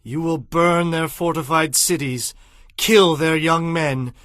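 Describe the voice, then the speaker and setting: A man's voice: a little high, fairly even intonation, slow pace, fairly bright tone, no echo, clean studio sound. A man playing a solemn, commanding prophet in a dramatized audio Bible, declaiming his prophecies. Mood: grave, sorrowful. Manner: declamatory, foretelling.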